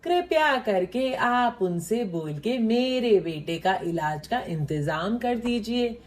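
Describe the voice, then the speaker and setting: Slow pleading crow dad played by a woman. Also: on the high side, lively intonation. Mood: pleading, worried. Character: worried crow father pleading for his sick son.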